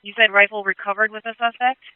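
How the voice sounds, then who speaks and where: High-voiced male dispatcher on tinny radio. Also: quick pace, clean tone, dry recording. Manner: calm, clipped, repeats back info. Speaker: police dispatcher. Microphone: dispatch console radio.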